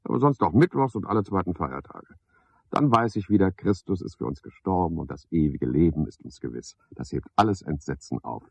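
An actor in a radio play voicing a cynical, self-satisfied, talkative night caretaker, with a pompous, sardonic, theatrical manner. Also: male voice, sits low, expressive, fairly quick, gravelly, slightly rough, no room sound, heavily acted. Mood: smug, musing. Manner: pompous, sardonic, theatrical one-sided conversation. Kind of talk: 1970s German radio play monologue. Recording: slightly dull 1970s radio recording.

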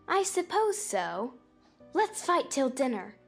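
A woman's voice: sits high, big pitch swings, slow, vocal fry, fairly bright tone, faint music behind, full character voice. A woman playing an agreeable storybook twin brother who recites nursery verse. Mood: grudging. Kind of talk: dramatized audiobook acting.

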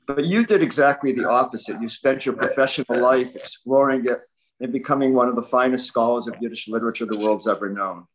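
A man's voice: medium pitch, poor audio. An older man interviewing an author on a video call, talking conversationally in long, admiring, rambling questions. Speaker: interviewer, event host. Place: book-lined home library, indoors. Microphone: video call computer mic.

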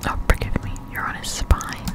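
A young woman whispers casual, halting ASMR gameplay commentary, breathy and close to the microphone. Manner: casual, halting, slangy explanation. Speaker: gaming ASMR streamer. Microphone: close-up desktop condenser microphone.

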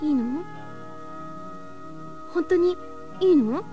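An actress playing an emotional, excitable teenage girl in a Japanese audio drama. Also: high voice, slow pace, faint music behind. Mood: hesitant.

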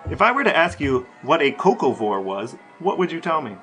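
A man's voice: on the high side, sing-song, brisk, bright, forceful tone, soft background music. Man hosting a casual podcast interview. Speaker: podcast co-host.

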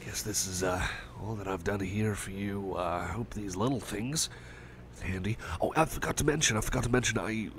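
A man voicing a gentle, caring male cyborg friend in an ASMR roleplay, talking softly and hesitantly with many fillers, sometimes dropping to a whisper. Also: on the low side, normal speed, slight background noise, close to an everyday voice. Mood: soothing. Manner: soft, hesitant and rambling, with many fillers and restarts; drops to soft whispers at times. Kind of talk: ASMR roleplay, personal attention.

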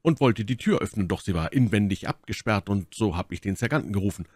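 A man's voice: on the low side, expressive, fast, no room sound, clean studio sound. A man playing a dutiful guard soldier in an audiobook, explaining himself plainly. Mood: earnest, puzzled. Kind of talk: dramatic audiobook character acting.

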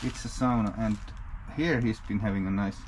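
A man's voice: lowish voice, normal speed. A man, a Finnish native speaker, exploring an abandoned house outdoors and thinking aloud in casual commentary, often restarting his sentences. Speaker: urban explorer. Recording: noisy outdoor camera audio.

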